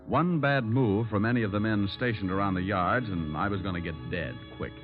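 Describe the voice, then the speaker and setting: An actor playing a cool, wry private detective in an old radio drama, with an American accent and a dry, unhurried manner. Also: male voice, lowish voice, faint music behind, lightly acted. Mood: cool, steady. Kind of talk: 1940s-50s radio detective acting. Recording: dull vintage radio recording.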